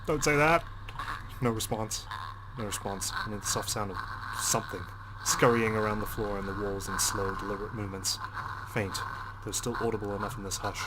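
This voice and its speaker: A man's voice: fairly low pitch, steady tone, a little fast, very noisy. A man hosting a game playthrough, reading narration aloud expressively and dramatically. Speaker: gaming video host. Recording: game music behind the voice.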